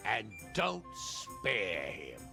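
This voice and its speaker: An actor playing a blustery, hearty middle-aged nobleman in a medieval video game, with a gravelly, booming, deliberate delivery. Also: wildly swooping pitch, over music, exaggerated voice. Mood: amused, commanding. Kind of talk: video game drama acting.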